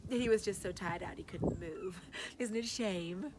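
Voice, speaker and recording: A woman's voice: medium pitch, moderate pace, very noisy, close to an everyday voice. A woman voicing a gushing, condescending society lady at a party, breathless and fluttery, with giggles and gasps, in a dramatic short-story reading.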